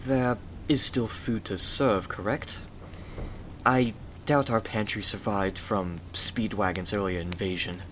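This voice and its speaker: Guy playing a sweet earnest victorian husband, lowish voice, asmr roleplay, rain and clear noise behind him. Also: fairly even intonation, unhurried, a bit bright. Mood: polite, mild. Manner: earnest, courteous, tender. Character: gentle, devoted young Victorian gentleman husband.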